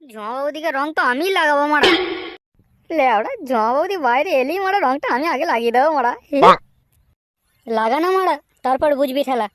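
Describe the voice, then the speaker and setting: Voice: expressive, a bit bright, no room sound, clean studio sound. A performer voicing a cheeky, slangy young prankster boy in a comedy cartoon. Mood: gleeful scheming. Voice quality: high-pitched cartoon voice. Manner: slangy, brash, fast-talking. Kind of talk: Bengali comedy cartoon dialogue.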